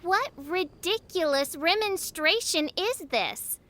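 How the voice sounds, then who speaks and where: A woman's voice: very high voice, lively intonation, takes it slowly, a bit bright, faint music behind, exaggerated voice. A woman voicing a haughty, self-styled young princess who proclaims in pompous, archaic, melodramatic speech in a video game. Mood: haughty, indignant. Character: grandiose self-styled princess, theatrical teenage girl, haughty. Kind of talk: animated video game acting.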